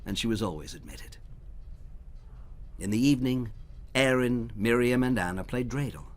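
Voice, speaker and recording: A man reading storybooks aloud for children in a dramatic, expressive way, at times dropping to a hush. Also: medium pitch, moderate pace, slight background noise. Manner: dramatic, dropping to hushed near-whispers. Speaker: storybook reader.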